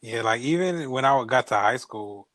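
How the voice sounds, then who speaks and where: A man's voice: mid-range voice, lively intonation, normal speed, a bit bright, no echo. A young man co-hosting a podcast, speaking in an easygoing way. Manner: easygoing, laughs between remarks. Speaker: podcast co-host. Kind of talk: casual chat.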